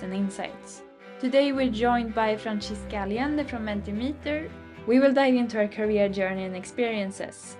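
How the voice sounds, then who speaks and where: A woman hosting a podcast, reading a scripted intro and then asking interview questions in a clear, measured way. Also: mid-range voice. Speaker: podcast host.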